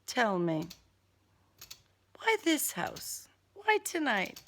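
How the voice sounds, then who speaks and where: A woman's voice: fairly high pitch, wildly swooping pitch, drawn-out words, full character voice. A woman voicing a smug male serial killer in an audiobook, with polite, condescending, formal diction and a taunting menace. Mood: taunting, intrigued.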